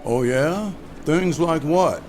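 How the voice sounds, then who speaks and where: A man reading the part of a cautious, businesslike male bank official at a script table read, in a measured, guarded manner. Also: fairly high pitch, noisy background.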